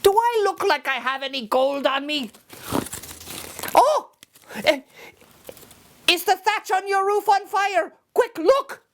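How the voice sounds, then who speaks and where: Storybook: woman voicing a squealing tiny leprechaun man, tricky and rude, pitched very high, with a slow, drawn-out delivery. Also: animated, slight background noise, full character voice. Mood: sly. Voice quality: shrill, squealing. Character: sly tiny male leprechaun, tricky and insulting.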